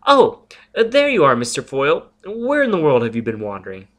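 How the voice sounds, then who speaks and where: A prim, high-strung young woman in a storybook, as a male reader puts her on, speaking high and quick with worry. She sounds lonely and easily frightened, her voice lifting sharply when upset. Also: sing-song, fairly bright tone. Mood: anxious. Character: young woman, a lonely nervous telepath teacher.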